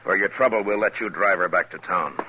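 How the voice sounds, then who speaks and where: A man's voice: deep voice, fairly quick, background noise, natural voice. An actor playing a stern, weary Old West lawman in a 1950s radio western, terse and commanding. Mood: easygoing. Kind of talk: 1950s radio western acting with first-person narration. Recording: dull 1950s radio recording, music behind.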